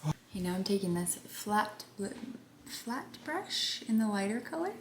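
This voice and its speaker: Soft-spoken woman doing her makeup. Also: mid-range voice, sing-song, measured pace. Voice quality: soft gentle voice. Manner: rambling, drops into whispers at times. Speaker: beauty vlogger. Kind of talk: soft chatty get-ready-with-me vlog. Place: home. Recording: echoey room with faint background noise.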